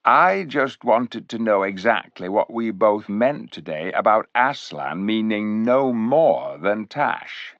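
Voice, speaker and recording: A man voicing a sly male cat who talks in a silky, purring, smoothly cunning way. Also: on the low side, expressive, slow, hardly any pauses, no echo. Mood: scheming. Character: sly, silky-voiced scheming tomcat. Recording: dull, dry recording.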